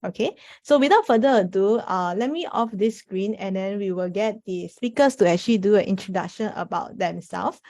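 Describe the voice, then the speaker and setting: A woman hosts a panel event with a soft, mellow tone and an average pitch. She addresses the audience informally, dropping in "you know" as she goes. The sound is dry and close. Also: moderate pace, hardly any pauses, no echo. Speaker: panel moderator. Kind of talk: spoken event introduction to an audience.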